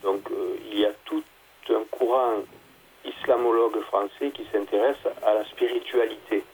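A man, a theology guest, explaining at length in a halting conversational way, with many fillers and restarts. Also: lowish voice, steady tone, darkish tone, slight background noise, low-quality recording.

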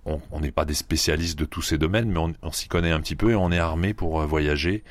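A man in his fifties from the Paris region, an agronomist answering interview questions in a measured way, with frequent hesitations and restarts. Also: very deep voice, no echo. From Paris region, France. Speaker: agronomist researcher, guest.

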